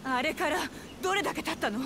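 An actress playing a determined, caring young army medic in a video game, earnest and emotional. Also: female voice, high-pitched, animated, quick pace, gravelly, noisy background. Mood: subdued, resolute. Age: young adult. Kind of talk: anime-style video game drama acting. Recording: music behind the voice.

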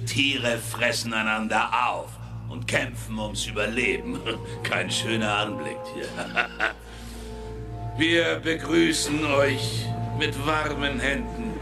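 A smug male host addressing people grandly in a radio drama. His mid-pitched voice moves slowly and theatrically, with music clearly behind it. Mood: smug, amused. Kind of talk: radio play acting.

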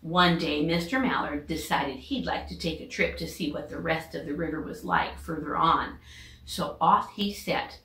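A middle-aged American woman, a teacher, reading a children's storybook aloud with expressive narration. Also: low-pitched, normal speed. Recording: echoey home room recording.

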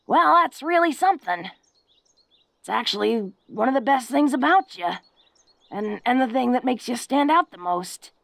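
Woman acting a slow, gravelly, awkward orc girlfriend. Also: on the high side, no echo. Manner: folksy, hesitant, stammering, earnest. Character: tough, awkward, tender-hearted young female orc girlfriend. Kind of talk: intimate romantic audio roleplay.

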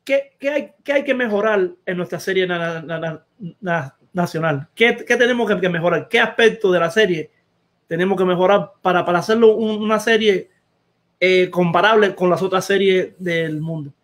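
A man's voice: high-pitched, normal speed, fairly bright tone. A man, co-hosting a baseball show and asking questions with many restarts. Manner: stammering, asks questions with restarts. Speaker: co-host. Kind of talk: casual interview questions.

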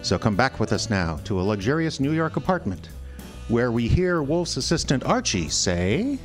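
Animated male radio announcer over music. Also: mid-range voice, normal speed. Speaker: host and announcer. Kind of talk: scripted radio announcing and narration.

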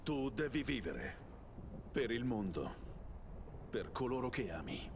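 An actor voicing a grave, earnest ally giving solemn counsel in a game cutscene, with deliberate delivery. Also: male voice, medium pitch, very animated. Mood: grave, urgent. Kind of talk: video game cutscene acting. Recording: clear background noise.

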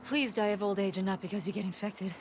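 A woman's voice: medium pitch, fairly even intonation, fast, background noise, close to an everyday voice. A young American woman playing a confident, teasing survivor in a video game, talking casually and directly. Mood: concerned, teasing. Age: young adult. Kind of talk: naturalistic video game acting. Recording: music behind game dialogue.

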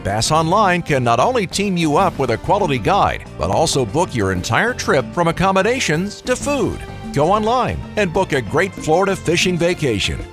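A man's voice: on the high side, sing-song, moderate pace. A man reading polished, upbeat scripted commercials and sponsor credits as a show announcer. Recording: voice-over over guitar music.

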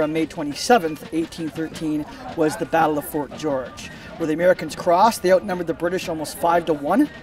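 A middle-aged man explains history in a loose, conversational way, stopping for fillers and restarts. He stands outdoors in a park at an event. His voice is a little high and caught by a camera mic set back from him. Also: far from the mic. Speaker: historical reenactor guide. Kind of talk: casual explanation.

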